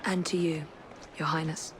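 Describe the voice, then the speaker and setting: An actress playing a poised, dry-witted young Victorian woman spy in a video game, crisp and composed. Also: low-pitched, little pitch movement, moderate pace, background noise, lightly acted. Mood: composed, wry. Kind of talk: dramatic video game dialogue.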